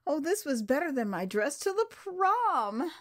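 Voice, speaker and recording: An American woman narrating her own memoir as an audio drama, with theatrical, expressive delivery that savours the words. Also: high-pitched, unhurried. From United States.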